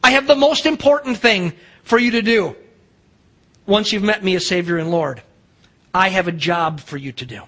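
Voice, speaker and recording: A man's voice: sits high, moderate pace, long pauses, pushed and bright. A middle-aged man with an American accent preaching a sermon as a pastor, emphatic, posing rhetorical questions and acting out dialogue. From United States.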